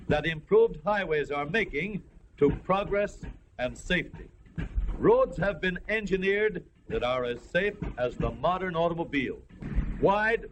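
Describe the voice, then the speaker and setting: A man's voice: high voice, a little hiss or hum, poor audio. An American man reading a formal, earnest, declamatory script as narrator of a 1930s educational film, on an old film soundtrack.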